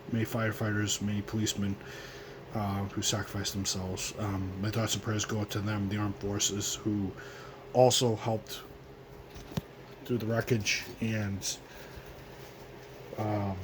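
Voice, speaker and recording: A hoarse man in his twenties from the United States hosting his own radio show, speaking in a halting, earnest way full of ums and restarts. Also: on the low side, noisy background. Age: 20s. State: hoarse, coughing. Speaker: host of a home radio show. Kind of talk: solemn remembrance monologue.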